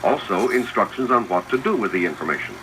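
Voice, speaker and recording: An old film soundtrack with clear projector noise carries a man's low voice. He narrates in the instructive manner of a 1970s classroom film, with pitch that rises and falls in a lively way as he addresses the viewer. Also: fast. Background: mechanical projector noise.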